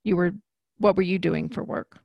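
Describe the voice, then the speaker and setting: A woman's voice: lowish voice, steady tone, moderate pace, gravelly, husky, no room sound, highs cut off. A woman hosting an interview, reacting with enthusiasm and asking follow-up questions. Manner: warm backchannels, enthusiastic reactions. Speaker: podcast host. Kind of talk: conversational interview.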